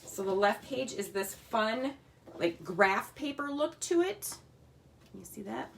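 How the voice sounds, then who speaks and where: A woman with a mid-range, slightly raspy voice talks her way through a scrapbooking project, chatty and relaxed as her hands keep busy. She records in her home craft room, and a faint hum of room noise sits behind her voice. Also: medium pitch, unhurried. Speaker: scrapbooking crafter demonstrating a kit. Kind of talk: casual crafting tutorial.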